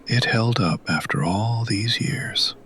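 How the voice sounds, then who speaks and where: A man playing an awed, middle-aged wrestling fan in an audio drama, speaking softly and coaxingly with hesitant reverence. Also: fairly low pitch, slow pace, pitched above the performer's own voice, heavily acted. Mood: hushed awe. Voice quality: soft, husky. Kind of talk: dramatic audio fiction acting.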